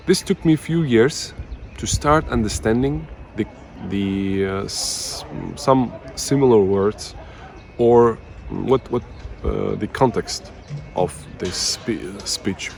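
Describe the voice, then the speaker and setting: A man's voice: fairly low pitch, vocal fry, slight background noise. Hesitant man. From Uzbekistan. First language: Russian. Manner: hesitant, many fillers and restarts. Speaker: language teacher, channel host. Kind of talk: personal story to camera.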